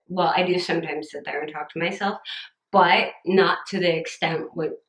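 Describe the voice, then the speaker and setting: A young woman vlogging casually from home, chatty and upbeat, her voice a little scratchy and rough. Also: lowish voice, a bit bright, reverberant. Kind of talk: casual haul vlog chat.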